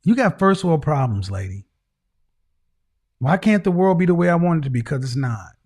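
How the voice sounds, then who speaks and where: Blunt lecturing man, higher voice. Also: very animated, normal speed, a little mellow, slightly rough. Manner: harsh, lecturing, repeats phrases. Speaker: host. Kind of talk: blunt call-in advice.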